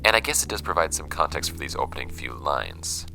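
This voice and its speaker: An actor playing a brooding archivist who records notes on strange case files in a horror audio drama, measured and hesitant, with pauses mid-sentence. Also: male voice, extremely low pitch, sing-song, bright, forceful tone, faint music behind, heavily acted. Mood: brooding, pensive.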